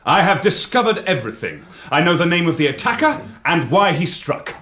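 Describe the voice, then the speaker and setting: A man's voice: on the high side, very animated, fairly quick, bright, forceful tone, husky, heavily acted. A man acting in a radio drama as a brilliant, confident young detective with an emphatic, theatrical delivery. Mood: triumphant.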